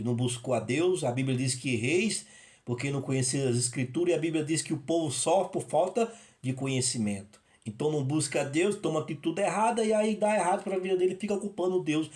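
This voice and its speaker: A man's voice: on the high side, a little mellow. A man from northeastern Brazil giving a rambling, informal sermon of personal testimony, recorded at home in his apartment. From Northeast Brazil (Rio Grande do Norte). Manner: rambling, with many restarts and repetitions. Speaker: evangelist.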